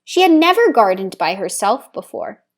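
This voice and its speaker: A woman reading a children's picture book aloud with deliberate, careful phrasing for young listeners. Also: medium pitch, big pitch swings. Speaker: storybook reader. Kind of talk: children's story read-aloud, scripted narration.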